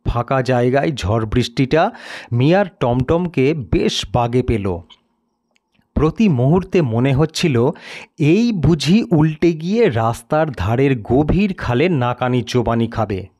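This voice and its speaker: A man's voice: mid-range voice, lively intonation, unhurried, darkish tone. A man narrating a scripted story in a dramatic storytelling style.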